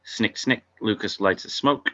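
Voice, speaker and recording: A man's voice: on the low side, unhurried, bright, forceful tone. A man narrating scene headings and stage directions in a scripted table read. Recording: dull lo-fi audio.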